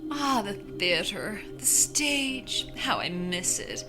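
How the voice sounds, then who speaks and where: A woman's voice: mid-range voice, animated, takes it slowly. A woman playing a gleefully morbid former stage actress who hosts creepy stories with dramatic flourish. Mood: wistful, morbid. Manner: theatrical, dramatic, exclaiming. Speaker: host of a creepy stories podcast. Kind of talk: in-character horror host monologue. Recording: loud music behind the voice.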